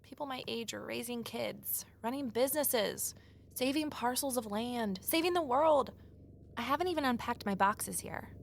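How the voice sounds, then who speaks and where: A woman's voice: a little high, moderate pace, gravelly. An actress playing an earnest, self-doubting young woman sleuth in an audio drama, speaking in a wry, conversational way. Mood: rueful, self-mocking. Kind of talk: naturalistic audio drama acting and first-person narration.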